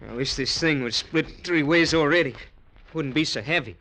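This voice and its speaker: A man's voice: fairly high pitch, moderate pace, over music, natural voice, low-quality recording. An actor playing a weary male treasure hunter who talks in a blunt, complaining way in a 1940s radio drama. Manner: complaining, blunt everyday talk.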